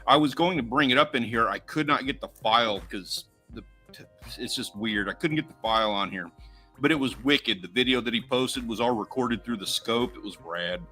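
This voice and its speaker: A man's voice: medium pitch, normal speed, bright, forceful tone, faint music behind, rough recording. An American man co-hosting a casual outdoors livestream, chatty and laid-back as he reads out viewer names and runs polls. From United States. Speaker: livestream co-host.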